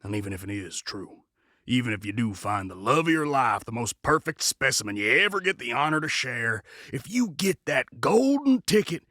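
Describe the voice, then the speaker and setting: A man playing a quiet, world-weary western cynic in an audio drama, terse and sardonic. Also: mid-range voice, lively intonation, moderate pace, dry recording, studio quality. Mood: jaded.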